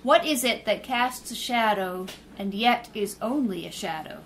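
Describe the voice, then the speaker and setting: An actress playing a poised young woman sleuth in a 1930s-style radio drama, polite and quick-witted, then indignant. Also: mid-range voice, animated, a little hiss or hum. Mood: charming.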